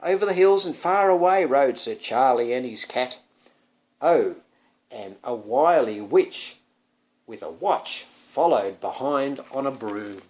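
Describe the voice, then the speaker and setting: A man reads a children's picture book as a dad to his young son, with a lively, swooping pitch. He takes his time, stretching out key words, and breaks off into chatty asides to the child. His voice is a little husky. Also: slow pace, low-quality recording. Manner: expressive, drawing out words and prompting the child listener. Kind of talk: storybook read-aloud with chatty asides.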